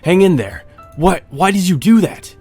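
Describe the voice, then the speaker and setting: A man's voice: high-pitched, expressive, normal speed, darkish tone, slightly rough, voice pushed up, exaggerated voice. A man playing an anguished young visual novel protagonist, urgent, incredulous and accusing. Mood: desperate. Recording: music under the voice.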